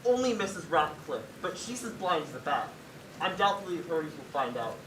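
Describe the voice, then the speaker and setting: A young woman playing a dim, evasive husband in a stage comedy. The delivery is deadpan yet swings in pitch, on the low side. It comes from far off on a noisy stage. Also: a little fast. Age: teen. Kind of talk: high school stage comedy acting. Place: school theatre stage. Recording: echoey noisy stage, distant mic.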